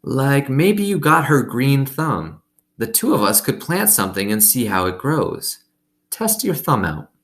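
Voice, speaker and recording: A man's voice: mid-range voice, sing-song, fairly bright tone, pitched above the performer's own voice. A man voicing a kindly old woman with failing eyes and folksy rural speech in a storybook read-aloud. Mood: warm, musing. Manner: folksy rural dialect, drawn-out phrases.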